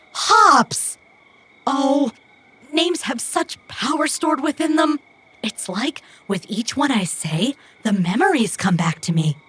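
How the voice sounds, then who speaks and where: Slow-talking, stilted, earnest young runner. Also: female voice, mid-range voice, fairly bright tone, breathy edge, full character voice. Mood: animated, determined. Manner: formal, stilted, precise phrasing without contractions. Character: earnest, literal-minded young female runner, childlike and stubborn. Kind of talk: audio drama acting.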